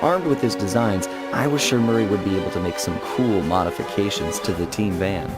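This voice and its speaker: A man's voice: fairly low pitch, brisk, over loud music, close to an everyday voice. Man as a suave, cocky raccoon thief. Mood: narrating. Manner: suave, wisecracking. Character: smooth, cocky young male raccoon master thief. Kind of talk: video game voice acting.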